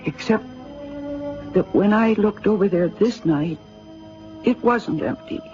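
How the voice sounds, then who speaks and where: A woman acting a gentle, earnest, bewildered young woman in an old-time radio drama, with theatrical delivery. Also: mid-range voice, takes it slowly, lightly acted. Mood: puzzled, recalling. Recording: muffled vintage radio drama recording. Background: music.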